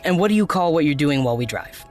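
A man's voice: on the high side, lively intonation, fast, darkish tone, soft background music, lightly acted. A man playing a terse, dry activist blogger in a naturalistic audio drama. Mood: irritated, challenging.

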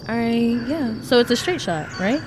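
A fairly high-voiced young woman comes across as anxious and wisecracking, with slangy, playful delivery. It is naturalistic audio drama with faint insect ambience behind her. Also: lively intonation, a little slow, noisy background. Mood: playful, weary.

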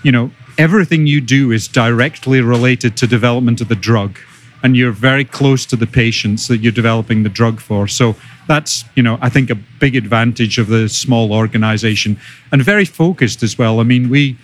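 A man's voice: medium pitch, normal speed. Middle-aged Scottish man being interviewed. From Scotland. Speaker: podcast guest, biotech executive. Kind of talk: conversational interview answers.

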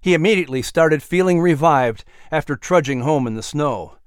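Male children's story narrator: higher-than-average voice, scripted, very expressive. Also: normal speed, smooth, no room sound, clean studio sound.

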